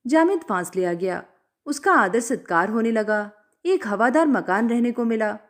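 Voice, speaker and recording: A woman narrates a written story in a high voice. Her delivery is expressive and very lively in intonation, as in an audiobook performance. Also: moderate pace. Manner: expressive, acts out dialogue. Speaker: storyteller reading short fiction aloud.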